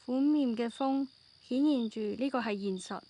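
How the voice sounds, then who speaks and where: A woman's voice: a little high, normal speed, warm tone, a little hiss or hum. A woman, reading scripted narration for an audio drama.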